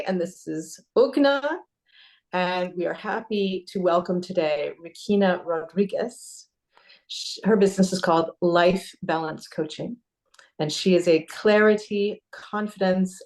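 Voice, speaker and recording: A woman's voice: medium pitch, darkish tone, poor audio. A woman host opening an interview with a formal, welcoming introduction. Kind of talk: scripted show introduction.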